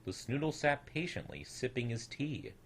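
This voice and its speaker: A man reading a rhyming children's tale aloud as an expressive storyteller. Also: medium pitch, normal speed, husky.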